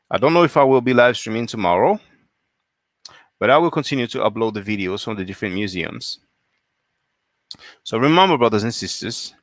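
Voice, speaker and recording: A man's voice: medium pitch, fairly bright tone, no room sound, studio quality. A man from France hosting a solo live stream, reading viewer comments and asking pointed rhetorical questions.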